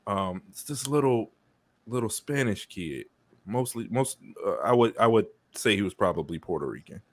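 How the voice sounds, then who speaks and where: A man's voice: lowish voice, animated, normal speed, no echo. Laid-back man in his forties. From Chicago. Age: 40s. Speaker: podcast co-host. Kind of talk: casual chat.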